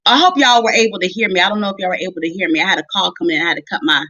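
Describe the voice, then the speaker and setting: A woman's voice: lowish voice, racing, bright, forceful tone. An American woman preaching in a conversational storytelling style, folksy and emphatic, repeating phrases for emphasis. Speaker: minister and show host preaching. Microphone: livestream audio. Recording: roomy, slightly dull livestream audio.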